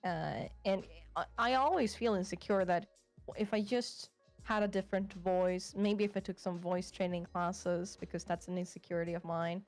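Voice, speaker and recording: A woman with a Swedish accent talks in a relaxed, reflective way, a little self-conscious and quick to laugh. Her voice sits on the low side for a woman. Faint music plays underneath. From Sweden. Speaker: VTuber guest. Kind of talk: casual chat.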